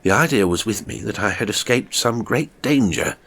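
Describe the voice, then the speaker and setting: A man's voice: on the low side, expressive, moderate pace, fairly bright tone. A man reading a first-person horror story as scripted narration, dramatic and suspenseful in his delivery. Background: music.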